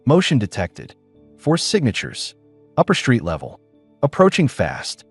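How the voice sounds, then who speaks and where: A man's voice: mid-range voice, wildly swooping pitch, a little slow, breathy edge, dry recording, soft background music, full character voice. A man voicing a practical loner mechanic who speaks in clipped, matter-of-fact lines.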